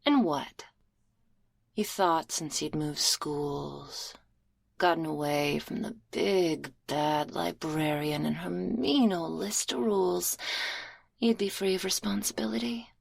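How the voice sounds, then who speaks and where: A woman's voice: fairly low pitch, slow, creaky, slightly rough, exaggerated voice. A woman playing a stern, teasing, domineering school librarian, scolding sarcastically in an intimate, half-whispered ASMR roleplay on a close binaural microphone.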